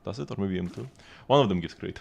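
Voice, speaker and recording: A man's voice: sits low, quick pace, vocal fry, background noise. A man giving casual live gameplay commentary, rambling and thinking aloud as he goes. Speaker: game streamer. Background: game music.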